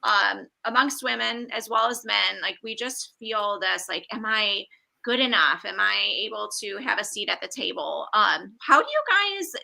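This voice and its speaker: A woman hosts a podcast, and her voice has a strong gravelly fry under a very bright, sharp tone. She works her way through her questions aloud, filling gaps with ums and likes. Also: medium pitch, lively intonation, normal speed, poor audio. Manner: thinks aloud while asking, with many fillers. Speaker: podcast host. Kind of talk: interview questions in casual chat.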